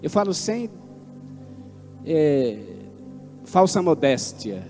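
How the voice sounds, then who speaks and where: A man, preaching a sermon with fervent swings between loud declaiming and low asides. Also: very animated, extremely slow. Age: 60s. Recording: long hall reverb, faint instrument music behind.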